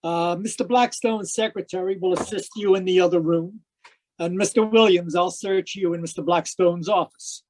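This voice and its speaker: An actor playing a calm, courteous police inspector in an old-time radio mystery, speaking with measured authority. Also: mid-range voice, natural voice, poor audio. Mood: methodical.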